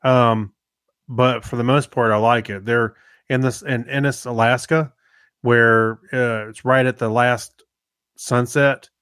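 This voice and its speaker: A male podcast co-host with a mid-pitched voice, talking casually and off the cuff. His delivery is laid-back and a little halting as he rambles, and the sound is very dry. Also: steady tone, fairly bright tone, smooth, no room sound. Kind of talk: casual, unscripted podcast chat.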